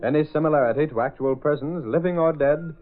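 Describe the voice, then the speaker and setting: A man's voice: on the high side, fast talker, phone-quality sound. A man with an American accent announcing a radio serial in a dramatic, scripted 1930s-40s style. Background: music.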